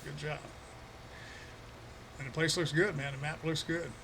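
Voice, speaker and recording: A man with a froggy, slightly hoarse throat, chatting casually with his viewers on a live stream while playing a farming game, with an easygoing, chuckling manner. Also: fairly high pitch, fairly even intonation, unhurried, background noise. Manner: easygoing, chuckling, talks to chat by name.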